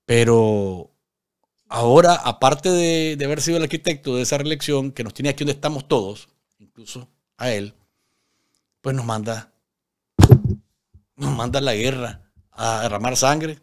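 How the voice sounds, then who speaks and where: A man's voice: medium pitch, measured pace, pauses often. Emphatic Nicaraguan male commentator. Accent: Nicaraguan Spanish. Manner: emphatic, repeats phrases, rhetorical questions. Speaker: political commentator and show host. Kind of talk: live political commentary. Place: small indoor studio at a desk. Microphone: desk studio microphone.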